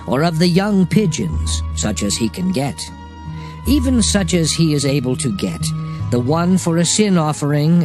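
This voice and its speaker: A male narrator reads scripture in a measured, solemn way. His voice sits fairly high and moves with lively intonation, over soft music.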